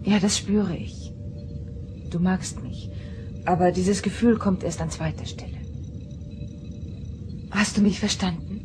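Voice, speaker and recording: Woman acting troubled space counselor lady, earnest, lowish voice, music behind, radio drama. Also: fairly even intonation, unhurried, long pauses, natural voice. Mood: tender, earnest. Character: earnest, troubled female starship counselor, reluctant bride. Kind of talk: German radio play acting.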